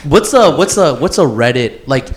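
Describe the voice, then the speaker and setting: A man's voice: mid-range voice, sing-song, normal speed. A young man co-hosting a podcast with friends, chatting casually and crudely in slangy, bro-heavy talk. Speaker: podcast co-host. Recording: roomy, echoing indoor sound.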